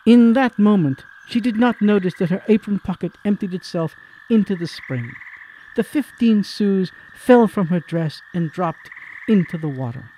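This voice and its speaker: Animated, theatrical male narrator. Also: sits high, normal speed, a little hiss or hum, low-quality recording. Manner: literary, theatrical. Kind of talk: dramatic scripted narration.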